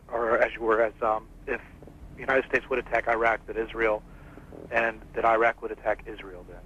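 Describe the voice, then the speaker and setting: Male radio caller, mid-pitched, fumbling casual delivery over a dull phone line. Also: a bit bright, a little hiss or hum. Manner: fumbling, restarts phrases. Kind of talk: casual question to a radio guest.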